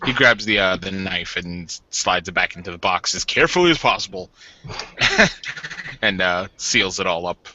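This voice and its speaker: Bright, forceful low-voiced man roleplaying. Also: lively intonation, moderate pace, no echo, dull audio. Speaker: tabletop roleplayer. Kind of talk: casual in-character roleplay with narration.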